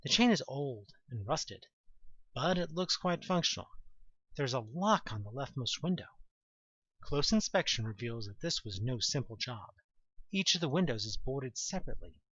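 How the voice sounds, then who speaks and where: Guy narrating a spooky audio drama in second person, super lively pitch, dry slightly muffled recording. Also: normal speed, husky, dry recording.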